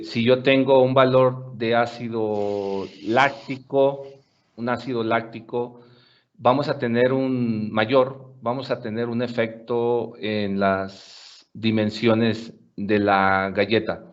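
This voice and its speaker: A man presenting on a webinar, answering audience questions in a measured way with frequent filler pauses. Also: medium pitch, dull audio.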